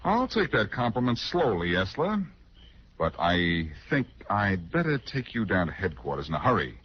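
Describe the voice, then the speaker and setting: On a muffled, noisy old radio recording, an American wisecracking sleuth. His voice sits low but moves freely up and down. He stays unflappable and wry, in classic 1940s crime-drama style. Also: very animated, moderate pace. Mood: wry, confident. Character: cool, wisecracking male amateur sleuth.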